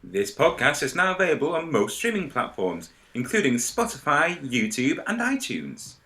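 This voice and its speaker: A man's voice: fairly high pitch, sing-song, normal speed, pushed and bright. A man co-hosting a podcast in casual banter. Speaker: podcast co-host.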